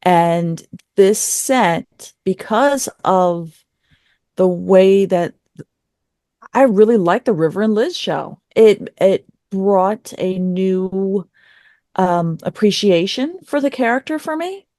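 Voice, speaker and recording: A woman's voice: mid-range voice, expressive, takes it slowly, dark tone, no room sound, studio quality. A woman giving casual opinions as a podcast panelist, rambling and often interrupting herself. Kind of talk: casual review discussion.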